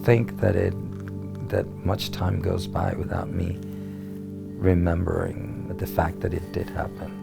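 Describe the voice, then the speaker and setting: Man, heavily creaky, flat low voice, reflective, loud music behind. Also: measured pace. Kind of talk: reflective personal talk.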